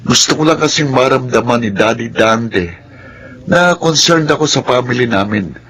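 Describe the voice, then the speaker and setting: A man's voice: mid-range voice, expressive, normal speed, fairly bright tone, breathy edge. A man playing a treacherous young son, smug and conspiratorial, in a Filipino radio drama. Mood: smug, scheming.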